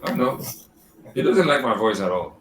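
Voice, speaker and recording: Man, very deep voice, laid-back podcast chat, rambling, swears a lot. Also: lively intonation, brisk, fairly bright tone. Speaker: podcast co-host. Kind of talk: casual chat.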